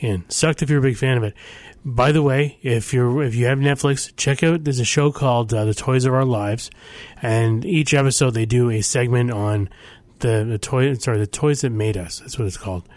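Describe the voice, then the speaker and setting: A man's voice: medium pitch, normal speed, darkish tone, dry recording. A middle-aged man hosting, chatting casually between songs with rambling, sarcastic asides and frequent self-corrections. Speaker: host.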